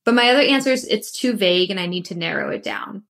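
A woman's voice: mid-range voice, lively intonation, fast, fairly bright tone. Upbeat woman podcast host. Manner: friendly and upbeat, laughs often. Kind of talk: casual interview chat.

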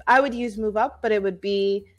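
A woman's voice: mid-range voice, normal speed. A woman with an American accent, an English tutor explaining word choices in casual conversation.

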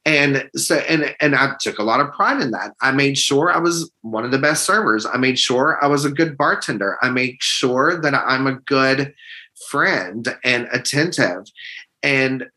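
A man's voice: medium pitch, lively intonation, measured pace, pushed and bright. A man with a feminine-sounding voice, speaking as an interview guest in an open, earnest way. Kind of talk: conversational interview.

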